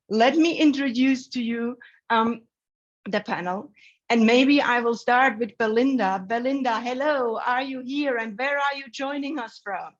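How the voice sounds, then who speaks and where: Upbeat woman with a slightly high voice, moderating an online panel and introducing each speaker with enthusiasm. The sound is dull and lo-fi, like web-call audio. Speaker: webinar moderator.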